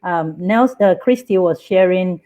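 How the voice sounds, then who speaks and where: A woman's voice: on the low side, unhurried, mellow. A woman hosting an online talk show, chatty and enthusiastic with her guests. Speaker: host.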